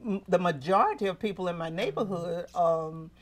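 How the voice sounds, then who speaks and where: A woman's voice: fairly low pitch, moderate pace. An older woman co-hosting a TV talk panel, speaking reflectively and often repeating words as she gathers her thoughts. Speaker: co-host.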